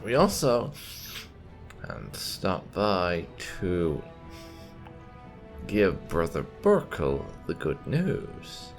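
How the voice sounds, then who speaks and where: A man's voice: deep voice, wildly swooping pitch, very slow, pauses often, soft background music. A man doing roleplay commentary over a video game, musing and replying in character. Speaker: gaming YouTuber playing in character. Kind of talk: roleplay let's play commentary.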